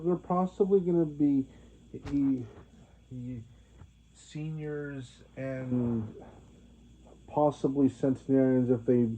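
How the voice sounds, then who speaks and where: Halting young man, tinny phone-like sound. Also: mid-range voice. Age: 20s. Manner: halting, many restarts and fillers. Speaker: vlogger. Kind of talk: rambling monologue to viewers.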